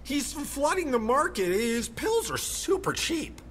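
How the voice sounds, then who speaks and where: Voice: sits high, very animated, measured pace, fairly bright tone, a little hiss or hum, exaggerated voice. An actor playing an earnest police lieutenant in a video game, deferential, hesitant and eager to please the boss. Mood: puzzled. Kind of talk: cinematic game dialogue.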